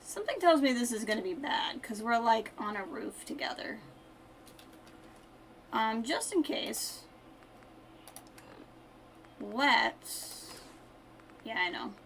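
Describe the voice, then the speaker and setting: A woman's voice: fairly high pitch, animated, slow pace, vocal fry, long pauses, a bit bright, a little hiss or hum. A woman game streamer giving casual live commentary with wry, dry asides.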